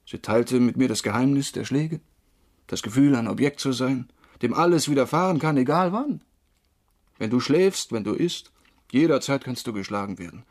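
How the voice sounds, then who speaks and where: An actor playing a troubled former drinker, wrongly convicted, confessing haltingly in a crime radio drama, with a husky voice. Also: male voice, medium pitch, lively intonation. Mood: bitter, haunted. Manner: halting, brooding.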